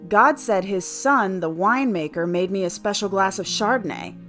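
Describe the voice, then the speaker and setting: A woman's voice: mid-range voice, moderate pace, a bit bright, soft background music, lightly acted. A woman playing a prickly, bewildered newcomer to the afterlife in an audio play, exclamatory in manner. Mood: indignant, baffled.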